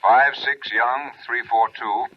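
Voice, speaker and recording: An actor playing a wry, laid-back auto theft officer with a husky voice and a dry, unhurried radio drama delivery. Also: male voice, mid-range voice, steady tone, slight background noise, lightly acted. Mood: wry, routine. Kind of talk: 1950s radio crime drama acting. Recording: dull old radio broadcast recording.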